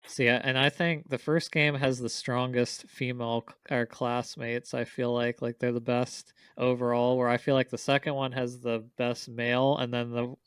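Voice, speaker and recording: A man with a smooth, clear voice chats casually as a podcast panelist. His pitch is average, and his manner is informal and conversational. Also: little pitch movement, normal speed, few pauses, a bit bright, no echo. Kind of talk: casual chat.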